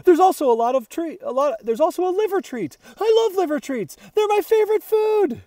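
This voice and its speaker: A man's voice: very high-pitched, a little fast, dry recording, studio quality, voice pushed up. A man voicing an eager, earnest pet dog detective who is easily delighted, in a children's storybook. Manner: excitable, earnest. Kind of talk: first-person children's storybook narration.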